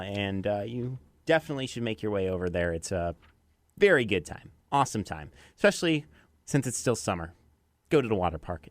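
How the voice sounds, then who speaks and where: American radio DJ, dry close sound. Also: male voice, medium pitch, dry recording. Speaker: afternoon drive radio DJ. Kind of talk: radio DJ links and countdown, conversational.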